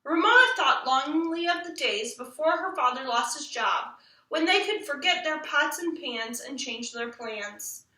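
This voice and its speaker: A woman's voice: sits high, lively intonation, unhurried, bright, forceful tone. A woman narrating a children's story expressively, as a storybook reader. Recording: echoey room, distant microphone.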